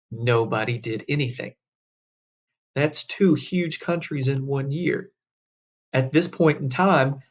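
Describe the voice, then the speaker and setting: A man's voice: mid-range voice, creaky. A man teaching a history class in a folksy, conversational way, asking rhetorical questions as he tells stories. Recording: dull lo-fi classroom recording.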